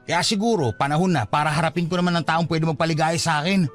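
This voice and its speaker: A man's voice: fairly high pitch, quick pace, faint music behind, close to an everyday voice. An actor playing a hurt, fed-up young father in an emotional, reproachful Filipino radio drama style. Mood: agitated, bitter. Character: hurt, fed-up young father giving up on his unrequited love.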